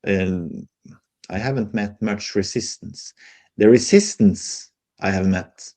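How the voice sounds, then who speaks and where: Guest: middle-aged Norwegian man, low and mellow, halting with fillers. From Norway. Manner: hesitant, many fillers, candid. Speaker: guest, folk healer. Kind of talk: casual interview conversation.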